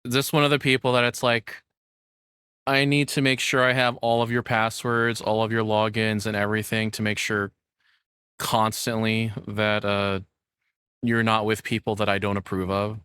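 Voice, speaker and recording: A man's voice: fairly low pitch, flat delivery, normal speed, pushed and bright, clear, resonant tone, no room sound, clean studio sound. A man giving casual reaction commentary as a streamer, rambling candidly and self-deprecatingly, with casual swearing.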